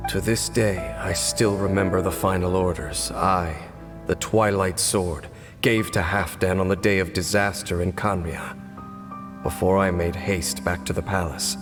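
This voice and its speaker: An actor playing a solemn, world-weary former royal guard, centuries old, in a video game, speaking in a measured, grave and formal manner. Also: male voice, low voice, fairly even intonation, long reverb tail, music in the background. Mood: grave, sorrowful.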